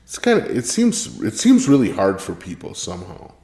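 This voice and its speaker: Low-voiced male podcast host, echoey room. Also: huge pitch swings, normal speed, warm tone. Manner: curious, conversational questioning. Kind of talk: casual interview.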